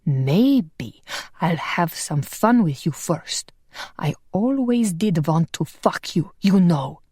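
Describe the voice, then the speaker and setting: A woman voicing a cruel male vampire villain who speaks slowly and low with a gloating tone. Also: lively intonation, a little mellow, husky, no echo, clean studio sound, heavily acted. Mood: menacing.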